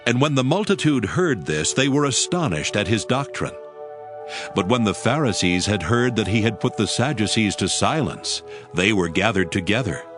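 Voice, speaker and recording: A man narrating scripture from a script, with formal, measured phrasing. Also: lowish voice, animated, over music.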